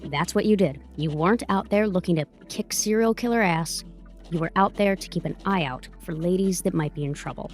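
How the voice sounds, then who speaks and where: A woman's voice: on the low side, a little fast, a little mellow, faint music behind, lightly acted. A woman playing a tough, protective advocate in an audio drama, blunt, slangy and profane. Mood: admiring, firm. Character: tough, brassy, protective woman advocate coaching her client.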